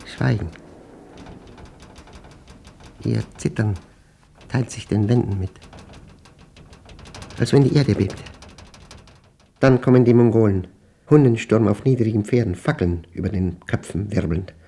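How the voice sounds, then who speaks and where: A man acting a brooding mathematician in a German radio play, reading his diary in terse, deliberate, measured fragments. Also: fairly low pitch, warm tone, husky. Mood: haunted, grave.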